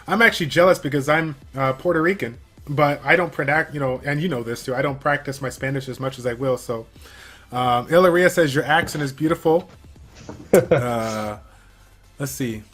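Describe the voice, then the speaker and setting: A man hosting a casual game livestream, reading viewer questions from chat and answering in a relaxed, filler-heavy way. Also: mid-range voice, normal speed, faint music behind. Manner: chatty, many fillers, reads viewer questions aloud. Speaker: stream host.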